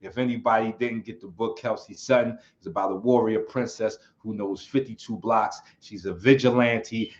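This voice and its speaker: A man hosting his own livestream in a relaxed, rambling way. He chuckles often and reads chat comments aloud. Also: fairly low pitch. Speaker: channel host. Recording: slightly dull lo-fi sound.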